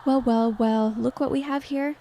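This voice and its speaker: Lady doing a snobby teen mean girl in a story, high soft-toned voice, sweet but cruel. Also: steady tone, moderate pace, a little hiss or hum. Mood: sneering contempt. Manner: honey-sweet but cutting.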